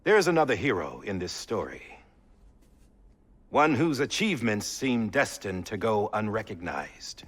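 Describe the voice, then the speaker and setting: An actor playing a solemn middle-aged male president delivering a measured, oratorical speech in a video game cutscene. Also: lowish voice, big pitch swings, a bit bright, slightly rough, exaggerated voice.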